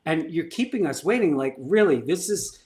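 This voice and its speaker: A middle-aged man, a performance coach, telling stories in an animated, emphatic way and acting out bits of dialogue. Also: fairly high pitch, moderate pace. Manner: animated, emphatic storyteller who acts out dialogue. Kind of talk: conversational storytelling.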